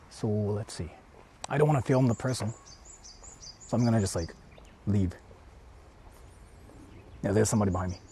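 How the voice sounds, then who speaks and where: A young man, walking around outdoors and chatting casually with livestream viewers, often speaking in a hushed, murmuring voice. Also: fairly low pitch, measured pace, pauses often, a little hiss or hum. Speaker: vlogger streaming while exploring. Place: outdoors walking through an empty housing estate.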